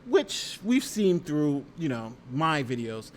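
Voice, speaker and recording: A man's voice: a little high, sing-song, slow pace, slight background noise. An American man hosting a casual legal commentary stream, reading aloud and talking through it conversationally with rhetorical asides. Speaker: YouTube host and lawyer reading a lawsuit.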